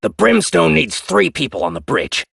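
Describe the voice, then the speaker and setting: A man voicing a defiant, hostile male commander who talks loudly and with scorn. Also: on the high side, huge pitch swings, normal speed, creaky, husky, dry recording, pitched above the performer's own voice, heavily acted. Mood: scornful, defiant. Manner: loud and scornful.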